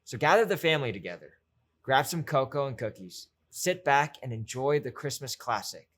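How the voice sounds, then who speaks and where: A man's voice: mid-range voice, expressive, normal speed, fairly bright tone. A man reading a scripted, inviting introduction to a dramatic reading.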